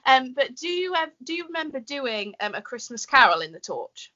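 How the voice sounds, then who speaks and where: Young female interviewer, bright, fairly high voice, chatty, on lo-fi audio. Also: big pitch swings, moderate pace. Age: 20s. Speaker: interview host. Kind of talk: casual interview chat. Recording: dull lo-fi audio.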